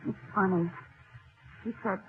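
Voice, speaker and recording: A woman playing a soft-spoken hospital nurse, gentle and deliberate, in 1940s radio style. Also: medium pitch, steady tone, close to an everyday voice. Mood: quiet, solemn. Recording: muffled old radio recording, music behind.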